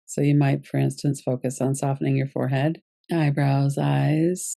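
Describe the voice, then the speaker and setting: A woman guiding a yoga nidra meditation as its teacher, giving gentle, unhurried instructions with pauses. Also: rumbling low, level tone, vocal fry, no echo, studio quality.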